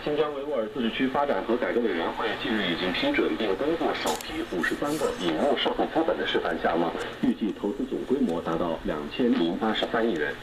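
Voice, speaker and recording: A man's voice: lowish voice, lively intonation, quick pace, hardly any pauses, background noise. A man reading scripted news as a presenter, heard through a radio. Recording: shortwave radio played in room, music.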